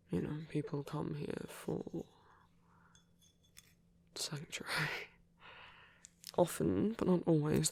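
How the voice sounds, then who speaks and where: A man playing a gentle vampire priest in an intimate ASMR roleplay, soft and breathy, speaking in hesitant, measured, genteel phrases. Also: a little high, heavily acted. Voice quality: breathy, whispery. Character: gentle, soft-spoken vampire priest, male, pacifist, tender.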